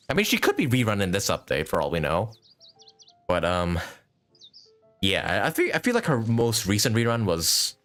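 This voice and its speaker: A man's voice: fairly low pitch, fairly bright tone. A man chatting casually as a live game streamer, rambling and thinking aloud with some mild swearing. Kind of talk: casual gaming stream commentary.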